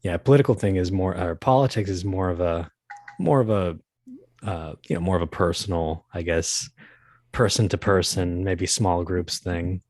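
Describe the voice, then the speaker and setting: A man with a low voice, recorded close and dry, talks casually as an interview guest. He is halting and modest about himself, often restarting as he searches for words. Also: darkish tone, no room sound. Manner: hesitant, self-deprecating, halting. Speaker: podcast guest, voice performer.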